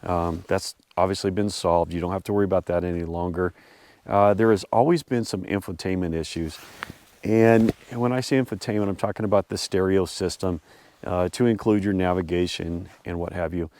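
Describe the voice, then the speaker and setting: A man hosting a vlog outdoors, a bit congested and hoarse from a cold, rambling casually with lots of fillers. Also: sits low, steady tone, fairly quick, a little mellow, no echo. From US Pacific Northwest. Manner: rambling, many fillers and restarts. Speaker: vlog host reviewing his truck. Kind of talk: casual talk to camera. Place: outdoors at a snowy off-road area.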